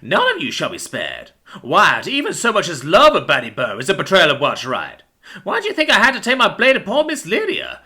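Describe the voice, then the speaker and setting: A man's voice: high-pitched, huge pitch swings, brisk, sharp tone, voice pushed up, full character voice. A man voicing a crazed, vengeful father mouse in a story, ranting in a righteous, theatrical way. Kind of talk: dramatic storybook character voice.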